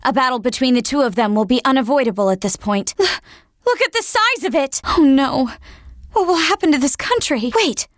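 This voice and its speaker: A young-sounding, high-pitched woman serving as a military operator in a monster game. She reports in clipped, dramatic phrases, anxious beneath her drilled discipline. The sound is dry and close, with no room around it. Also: animated, normal speed, no echo. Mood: alert, reporting, then alarmed. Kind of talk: video game voice acting, urgent radio-briefing dialogue.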